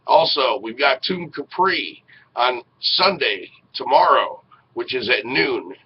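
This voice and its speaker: A man's voice: medium pitch, expressive, slow pace, husky. A middle-aged American man hosting a casual live web radio show, speaking in a folksy, earnest way. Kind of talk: casual live show talk, promoting programs. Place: small indoor room with posters. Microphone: headset. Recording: dull, noisy webcam audio.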